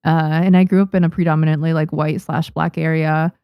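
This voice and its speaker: A young woman, Japanese her first language, runs a relaxed live stream from her home studio, chatting with viewers and restarting her sentences often. Her voice sits low and carries a gravelly edge. The sound is dry and close. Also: brisk, no echo, lo-fi sound. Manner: chatty, reads and answers chat, frequent restarts and fillers. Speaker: streamer and manga artist.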